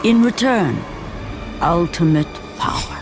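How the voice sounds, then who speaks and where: A man playing a solemn, portentous storyteller who narrates a mythic warrior saga for a video game in a measured manner. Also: high-pitched, lively intonation. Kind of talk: scripted dramatic game narration. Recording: loud music behind.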